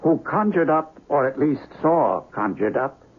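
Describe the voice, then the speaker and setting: An actor playing a learned doctor who investigates the occult, speaking precisely and formally with ominous weight in a radio drama. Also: male voice, fairly high pitch, very animated, unhurried, slightly rough, heavily acted. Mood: scholarly, grave. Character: erudite, grave male occult investigator and doctor. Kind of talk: 1970s radio drama acting. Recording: dull, noisy old radio broadcast.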